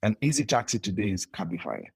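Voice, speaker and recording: A man's voice: lowish voice, animated, fast talker, husky, dry recording. A young man talking as an interview guest, telling his story in a rambling way with frequent restarts. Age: young adult. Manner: rambling with many restarts and laughs.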